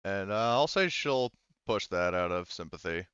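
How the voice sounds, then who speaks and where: A man running a tabletop roleplaying game as game master, narrating off the cuff and haltingly thinking aloud. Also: sits low, expressive, dull audio, background noise.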